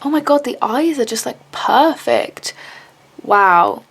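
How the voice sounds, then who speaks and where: A woman unboxing handmade charms in a casual vlog, gushing and excitable, with a slightly raspy voice. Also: fairly high pitch, slow pace. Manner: gushing, excitable, many "oh my God" and gasps.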